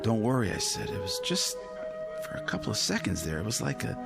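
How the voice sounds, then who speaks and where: An actor playing a wisecracking test pilot with an uneasy streak in a radio drama, colloquial in delivery. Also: male voice, fairly low pitch, huge pitch swings, fairly quick, gravelly, loud music behind the voice, heavily acted.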